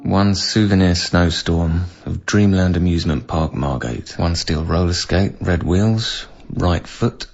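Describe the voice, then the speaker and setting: An actor playing a deadpan man reading out an inventory as scripted radio drama. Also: sits low, measured pace, creaky, hardly any pauses, exaggerated voice. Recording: muffled radio drama, loud music.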